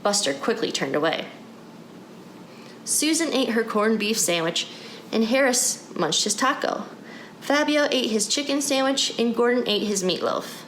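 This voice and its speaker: A woman's voice: fairly high pitch, animated, measured pace, a bit bright, echoey room. A woman reading a children's storybook aloud as a narrator. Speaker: story reader. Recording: clear background noise.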